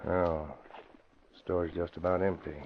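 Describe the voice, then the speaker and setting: An actor playing a wisecracking male racketeer sidekick in a 1940s radio drama, easygoing and quick to joke. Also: low-pitched, flat delivery. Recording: dull hissy old radio recording.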